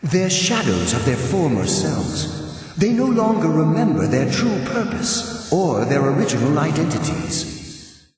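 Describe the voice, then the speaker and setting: An actor playing a solemn, remorseful male ghost in a video game, speaking gravely and formally with a ghostly reverberation. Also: fairly high pitch, big pitch swings, measured pace, a little mellow, faint music behind. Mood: solemn, regretful. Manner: grave, formal, wistful delivery. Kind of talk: scripted video game dialogue. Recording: cavernous long reverb, distant.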